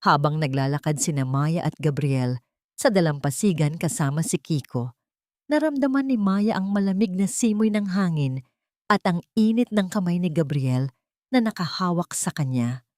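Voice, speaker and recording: A woman's voice: lowish voice, animated, moderate pace, darkish tone, no echo, clean studio sound. A woman storyteller giving a scripted, solemn and sentimental narration of a romance.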